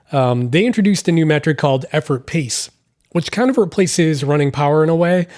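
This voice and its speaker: Hoarse, rambling podcast host. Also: male voice, on the high side, darkish tone, dry recording, studio quality. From Massachusetts, United States. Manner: rambling, self-deprecating, apologetic. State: scratchy voice from illness. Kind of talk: casual solo podcast monologue. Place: hotel room.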